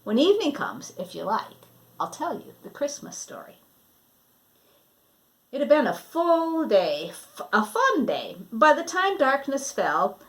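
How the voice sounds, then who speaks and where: A woman reading a children's story aloud expressively, with an animated delivery, as if to listeners in front of her. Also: fairly high pitch, low-quality recording. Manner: animated, addresses listeners, pausing to show pictures. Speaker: storybook reader.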